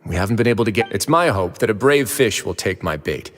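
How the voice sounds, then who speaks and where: Low-voiced, composed nobleman played by a man. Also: lively intonation, big-room sound, slight background noise, lightly acted. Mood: earnest, composed. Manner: measured, formal, polite. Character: composed, courteous nobleman investigator, calm and authoritative. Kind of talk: video game voice acting.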